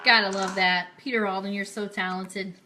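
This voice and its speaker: A woman hosting a casual live radio show with gushing, excitable, chatty delivery, moving between chat and news items. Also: medium pitch, normal speed, music in the background.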